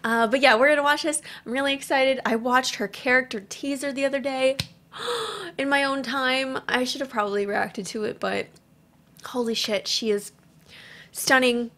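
A young woman giving casual, gushing and expressive reaction commentary as a gaming video host, recorded on a studio mic in a small home room. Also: high-pitched, normal speed. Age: young adult. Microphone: boom-arm studio mic at desk.

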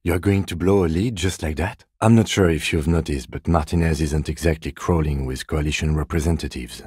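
A man playing a composed, by-the-book police detective in a video game, speaking in a measured, reserved, matter-of-fact way. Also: very deep voice, darkish tone, breathy edge, dry recording, studio quality. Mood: firm, restrained. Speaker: voice actor. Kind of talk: video game character dialogue.

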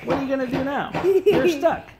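A man's voice: very high-pitched, normal speed, a little mellow. A man voicing an exasperated police officer puppet, whiny and scolding, in an improvised puppet show. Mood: scolding, teasing. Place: living room with high ceiling. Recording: noisy home phone recording with clicks.

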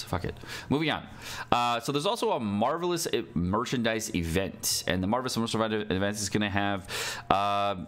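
Young male streamer, lower register, informal and sweary, casual commentary while reading aloud. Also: a bit bright. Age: young adult. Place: indoor room at a computer, livestream.

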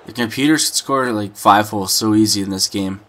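A man's voice: lowish voice, moderate pace, a bit bright. A young man with a cold, losing his voice, chatting casually while playing a hockey video game. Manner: chatty, complains about the game. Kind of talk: casual gameplay commentary.

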